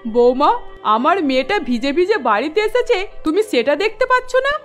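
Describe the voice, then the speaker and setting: Bossy, high-voiced nagging mother-in-law. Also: big pitch swings, normal speed, soft background music. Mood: scolding, fussing. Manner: nagging, commanding. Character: bossy, scolding middle-aged Bengali mother-in-law, doting on daughter. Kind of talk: cartoon voice acting.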